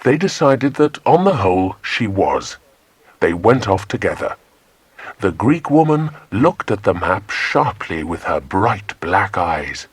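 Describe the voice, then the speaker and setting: A man's voice: fairly low pitch, very animated, a little slow, slightly rough, no room sound. A man narrating a children's adventure audiobook in a scripted storytelling style.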